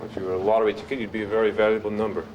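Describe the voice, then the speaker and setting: A man playing a frank, low-voiced family doctor. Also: level tone, very fast, lo-fi sound, natural voice. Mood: frank, advising. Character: frank, concerned male family doctor, gently nagging. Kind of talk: acted scene in a medical dramatization. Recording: noisy room ambience.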